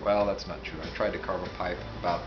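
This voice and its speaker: A man giving a casual, unscripted book review, rambling and halting, with asides that trail off. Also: low voice, fairly even intonation, background noise. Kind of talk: casual, unscripted talk to camera. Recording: dull sound with music behind. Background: music.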